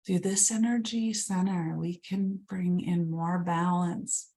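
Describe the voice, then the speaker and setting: Low, gravelly woman guiding meditation. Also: fairly even intonation, mellow. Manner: slow, soothing, drifts into whispers. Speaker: meditation guide. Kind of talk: guided meditation.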